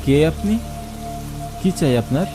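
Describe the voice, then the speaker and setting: A man playing a sceptical, baffled storyteller in a first-person horror cartoon, with an expressive, questioning manner. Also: on the high side, unhurried, over music. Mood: incredulous. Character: sceptical, baffled man narrating his ghostly night encounter. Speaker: narrator and lead character.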